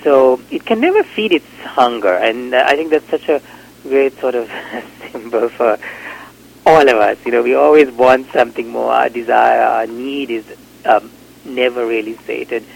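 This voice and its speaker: A man's voice: medium pitch, normal speed, creaky, rough recording. A man from Sri Lanka, a novelist, answering interview questions in a reflective, hesitant way with many fillers and restarts.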